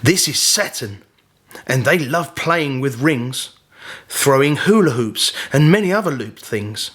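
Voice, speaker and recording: Man voicing a slow, showy tiny cosmic genie. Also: medium pitch, lively intonation, a bit bright, slightly rough. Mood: showy, teasing. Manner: theatrical, rhyming proclamations.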